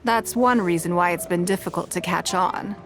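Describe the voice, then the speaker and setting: A woman voicing an elegant, shrewd and courteous businesswoman in scripted video game dialogue, speaking in a poised, measured and articulate way. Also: fairly low pitch, lightly acted. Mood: thoughtful, proud. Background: background music.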